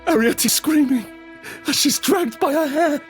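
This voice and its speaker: An actor playing a frightened survivor in an audio drama, narrating urgently in the first person and present tense in fragmented phrases. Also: male voice, extremely high pitch, normal speed, soft background music. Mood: panicked. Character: desperate, frightened male survivor of a monster apocalypse. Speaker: narrator and lead character.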